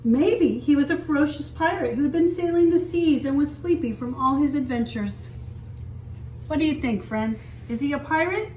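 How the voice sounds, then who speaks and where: Female story time teacher, higher pitch, expressive read-aloud with questions for little kids; roomy, echoing sound. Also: normal speed. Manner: expressive, explains and asks simple questions for young children. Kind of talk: read-aloud picture book storytelling with asides to children. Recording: echoey room, distant mic, faint hiss.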